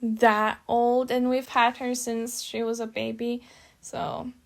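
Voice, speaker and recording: A young woman with an Estonian accent talks in a casual podcast chat. Her voice sits a little high, and she speaks softly and hesitantly, leaning on "like" as she goes. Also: little pitch movement, takes it slowly, creaky. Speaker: podcast co-host.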